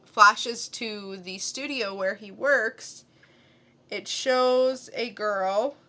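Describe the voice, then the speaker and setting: A woman with a bright, clear-cut voice recaps a story on a podcast. She takes her time, conversational and a little halting. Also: mid-range voice. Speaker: podcast co-host. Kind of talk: casual comic plot recap.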